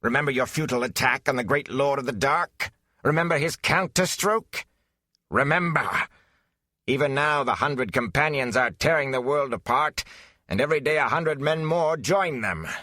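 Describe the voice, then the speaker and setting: A man playing a menacing, mocking villain who serves darkness, theatrical and forceful, in a fantasy audiobook. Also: medium pitch, animated, moderate pace, no room sound, studio quality, pitched above the performer's own voice. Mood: accusing. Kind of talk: fantasy audiobook character acting.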